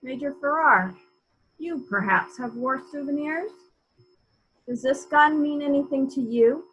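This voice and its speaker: A woman playing a brisk, authoritative police inspector, questioning in a measured, probing way in a murder mystery reading. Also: high voice, fairly bright tone, muffled recording.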